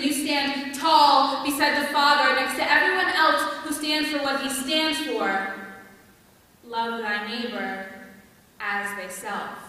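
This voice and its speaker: A teenage American girl performing slam poetry with dramatic, deliberate, emphatic delivery. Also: high-pitched, bright, forceful tone. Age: teenager, high school sophomore. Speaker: student poet. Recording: very echoey hall, distant microphone.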